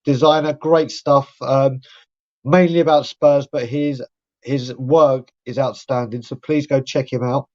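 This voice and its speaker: A man's voice: mid-range voice, fairly even intonation, a little slow. A man, hosting a live fan podcast in a chatty, rambling way. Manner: chatty, rambling shout-outs. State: croaky voice. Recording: dull lo-fi audio.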